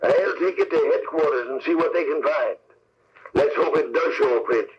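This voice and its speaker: An actor playing an excitable, gruff police officer in an old-time radio crime drama, with urgent, slangy delivery. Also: male voice, on the high side, normal speed, lightly acted. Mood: brisk. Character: gruff, excitable 1930s police sergeants and cops. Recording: noisy, muffled old radio broadcast tape.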